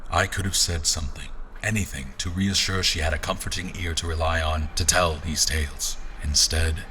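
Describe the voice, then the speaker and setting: Man playing a very deep, intent relic hunter. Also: steady tone, normal speed, bright, forceful tone, slight background noise. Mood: reflective, guarded. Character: patient, reflective male researcher of ancient artifacts. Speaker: narrating lead actor. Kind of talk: first-person audio drama narration.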